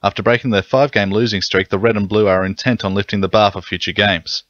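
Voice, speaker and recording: A man's voice: on the low side, fairly even intonation, fast talker, no room sound, muffled recording. A man reading a scripted sports bulletin on the radio. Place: dry radio studio.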